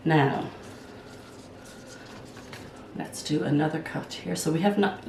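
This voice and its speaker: An older woman talks in a low, croaky voice, hoarse from a cold. She chats eagerly and thinks aloud as she works. She is heard from some way off the mic in an echoey room, with a faint hiss behind her. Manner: chatty, enthusiastic, thinks aloud while working. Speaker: plant channel host. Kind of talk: casual vlog. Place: at home, indoors. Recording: roomy sound from a distance.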